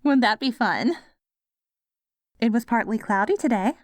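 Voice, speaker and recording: A woman's voice: on the high side, expressive, unhurried, dry recording, clean studio sound. A woman playing a doting, patient wife in an ASMR roleplay audio drama, coaxing sweetly like a caregiver. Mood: fond, chatty. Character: doting wife caring for her ghoul husband after apocalypse.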